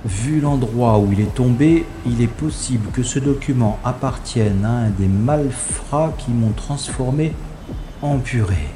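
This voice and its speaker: An actor playing a wry, stubborn special agent who narrates his own science-fiction audio drama in a sardonic, deliberate way. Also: mid-range voice, noisy background, close to an everyday voice. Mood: rueful, wry.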